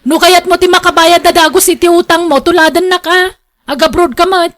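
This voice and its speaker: A woman's voice: very high voice, steady tone, fairly quick, fairly bright tone. A woman with a northern Philippine accent playing a firm, blunt working wife and mother in a radio drama. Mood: angry, scolding. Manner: blunt, scolding.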